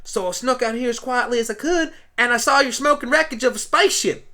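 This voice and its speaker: A woman's voice: a little high, fast talker, pushed and bright. A woman voicing a plucky, friendly young farm pony girl with a folksy, earnest and feisty manner, in an amateur radio play. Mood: excited, earnest.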